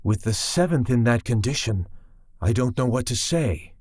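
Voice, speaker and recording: An actor voicing an excitable, bashful male scientist in an anime game, deferential and fretful, with a flustered, stammering delivery. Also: mid-range voice, moderate pace, no echo, studio quality, natural voice. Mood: bashful, eager. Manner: stammering, flustered, eagerly explanatory. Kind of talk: English anime game dub acting. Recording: music behind.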